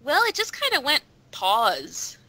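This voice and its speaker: A woman's voice: sits high, huge pitch swings, a little slow, sharp tone, no room sound, rough recording. A woman chatting casually and playfully as a voice actor in a group interview. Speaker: voice actor guest.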